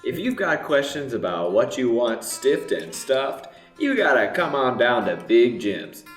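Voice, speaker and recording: Man as a blunt, animated customer, echoey. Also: mid-range voice, measured pace, soft background music. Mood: hearty. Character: blunt, inquisitive taxidermy customer and ad pitchman. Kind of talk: improvised comedic radio play. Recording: roomy echo.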